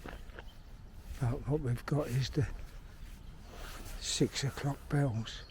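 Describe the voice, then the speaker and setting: A man's voice: mid-range voice, fairly even intonation, slow pace, a little mellow. A middle-aged man with a London accent, chatting bluntly and swearing as he rambles to himself on a walk in a park. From London, England. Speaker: vlogger, filmmaker. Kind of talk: rambling casual monologue while walking. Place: outdoors in a park. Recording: outdoor background noise. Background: birdsong and outdoor ambience.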